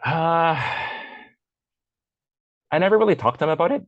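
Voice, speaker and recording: A man's voice: on the high side, animated, normal speed, dry recording, dull audio. A young man, a guest, talking in a casual personal conversation, hesitant, with many fillers and restarts. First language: English; Chinese is his second language. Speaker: interview guest.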